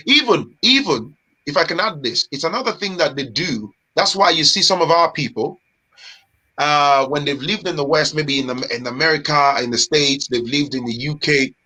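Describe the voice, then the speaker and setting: A man from Nigeria, a guest in a casual chat, talking in an animated, emphatic way with street slang. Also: fairly high pitch, normal speed, rough recording.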